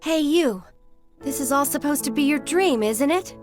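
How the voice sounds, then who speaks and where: Frank young game heroine, spirited and caring, highish voice, music behind. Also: female voice, expressive, normal speed. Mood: frank, insistent. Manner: frank, direct. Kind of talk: video game voice acting.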